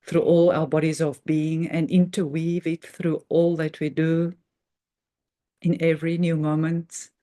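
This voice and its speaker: A woman hosting a guided meditation, speaking earnestly and reverently in short, halting phrases with measured pauses in an unscripted spiritual talk. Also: deep voice, dark tone.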